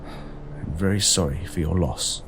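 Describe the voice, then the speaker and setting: A man playing a grave, consoling military officer in an audio drama, solemn and measured with a gravelly voice. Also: sits low, noisy background.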